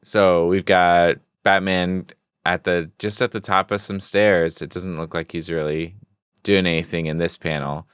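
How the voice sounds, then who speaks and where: A man's voice: deep voice, level tone, unhurried, fairly bright tone, clear, resonant tone, no echo, studio quality. A man co-hosting a podcast, casually describing comic-book scenes panel by panel in conversation. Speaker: podcast co-host.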